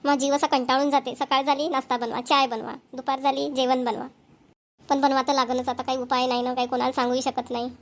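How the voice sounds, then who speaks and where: A woman plays an overworked village housewife from a family cartoon. Her voice is high and rapid, with little rise and fall. She has a homely rural Varhadi Marathi accent and a plaintive way of talking. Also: little pitch movement. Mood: complaining. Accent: Maharashtrian, rural Varhadi Marathi.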